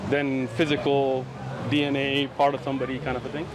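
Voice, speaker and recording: A man's voice: mid-range voice, completely flat delivery, a little fast, heavy background noise. A young man from Pakistan, raised in San Diego, chatting persuasively about faith with strangers on a city street and often checking agreement with "right?". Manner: friendly, persuasive, frequent "right?" tags. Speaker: street preacher.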